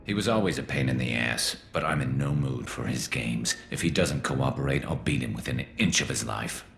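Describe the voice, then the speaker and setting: A man playing a grim, vengeful alien ex-cop turned vigilante in a video game, with a gravelly voice and terse, menacing delivery. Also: a bass voice, lively intonation, fairly quick, fairly bright tone, heavily acted. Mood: menacing, tense. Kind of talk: video game character acting.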